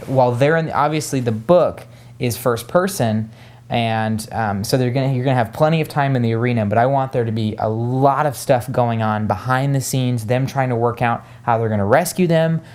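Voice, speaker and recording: A man's voice: medium pitch, normal speed, a little mellow. A man co-hosting a casual book discussion, giving opinions emphatically and repeating phrases to drive points home. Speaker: co-host of a book discussion video.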